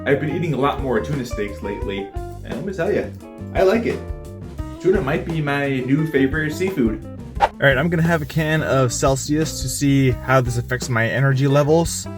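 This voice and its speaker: A man's voice: medium pitch, moderate pace, music in the background. Man vlogging his diet, casual. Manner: candid, self-reporting how he feels. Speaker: fitness vlogger. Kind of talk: casual diet vlog narration.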